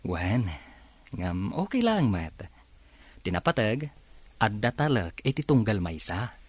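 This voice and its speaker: A man acting a low-voiced, patient young Ilocano fiance. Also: sing-song, slow pace, breathy edge, no echo, exaggerated voice. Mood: friendly, steady. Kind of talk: Ilocano radio drama acting.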